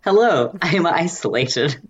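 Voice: mid-range voice, animated, unhurried, husky. A co-host of a casual podcast, trading dry, teasing banter, recording on a laptop headset. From Australia. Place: remote farmhouse.

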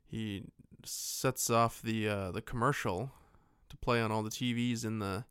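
A man co-hosting a podcast, casually retelling a film in a joking, animated way and happy to swear. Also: lowish voice, a little slow, clean tone, dry recording, clean studio sound. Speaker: podcast co-host. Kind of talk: casual film recap chat.

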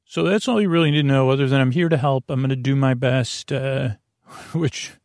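A man hosting a bedtime podcast in a casual, meandering monologue, hesitant and drifting off into digressions, with a gravelly voice. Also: medium pitch, normal speed, a little mellow, dry recording, studio quality. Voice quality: gravelly, creaky. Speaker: podcast host.

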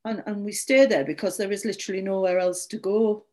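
A woman's voice: medium pitch, fairly even intonation, a little fast, dark tone, natural voice. A woman voicing a nervous, apologetic teenage boy in a story, meek and hesitant, with a stammer. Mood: earnest, defensive.

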